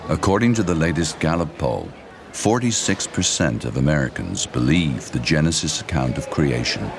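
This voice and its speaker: A man's voice: a bass voice, expressive, moderate pace, gravelly, background noise. A man reading scripted narration for a documentary.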